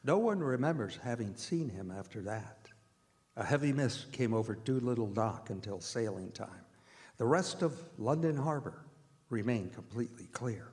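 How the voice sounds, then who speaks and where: A man playing an earnest diarist who narrates a staged radio drama in a measured, grave way. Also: medium pitch, expressive, darkish tone, natural voice. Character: earnest male diarist reporting on a vampire hunt. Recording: reverberant hall, music behind.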